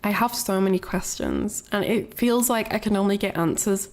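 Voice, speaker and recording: Young female streamer, congested from a cold, gravelly, rambling casual chat. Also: medium pitch, moderate pace. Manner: rambling, many fillers and restarts.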